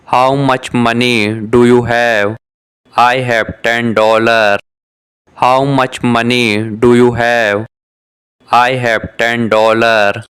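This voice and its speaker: Male voice for a beginner English lesson: unhurried, crisp, careful, even tone. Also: medium pitch. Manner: reads short question-and-answer exchanges, each said twice; measured, carefully articulated delivery. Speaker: English lesson dialogue reader. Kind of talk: scripted beginner dialogue for shadowing practice.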